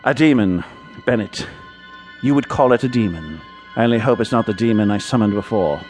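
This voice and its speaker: An actor playing a haughty, guarded Edwardian gentleman investigator of the occult, dry and sardonic, in a radio drama. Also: fairly low pitch, a little fast, faint music behind, lightly acted. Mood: grave, foreboding.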